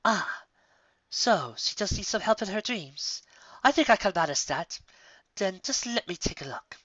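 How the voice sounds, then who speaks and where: A man's voice: sits high, lively intonation, unhurried, a bit bright, no room sound, muffled recording, voice pushed up, full character voice. A man voicing a haughty, theatrical female pony princess of the night in a fan story, with formal old-fashioned wording. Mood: pleased, intrigued.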